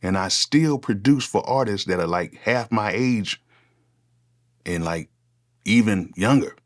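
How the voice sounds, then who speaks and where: A man's voice: lowish voice, measured pace. A man in his forties, a music producer talking casually to camera, with a loose, conversational delivery. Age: 40s. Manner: casual, conversational, repeats "go ahead" often. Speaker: music producer, channel host. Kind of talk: unscripted one-take talk to camera.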